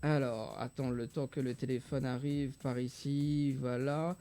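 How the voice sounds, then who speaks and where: A man's voice: medium pitch, steady tone, measured pace, mellow. A man hosting a live show, bantering informally and jokily with hesitant phrasing as he interviews. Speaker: radio and TV show host.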